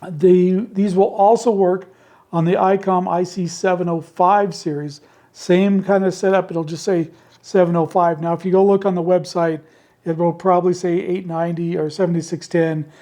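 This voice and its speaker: A man with a hoarse, worn-out voice, chatting casually about his handmade radio gadgets in an easygoing, rambling way from his indoor radio shack. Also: high-pitched, fairly even intonation, normal speed, warm tone. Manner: easygoing and rambling, homespun phrasing. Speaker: ham radio channel host selling handmade keypads. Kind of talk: casual product talk to camera.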